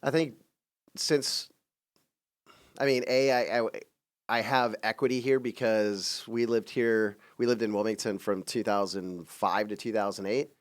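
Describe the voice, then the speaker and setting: A man's voice: on the low side, steady tone, a little slow. A man co-hosting a podcast, chatting casually and conversationally. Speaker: podcast co-host.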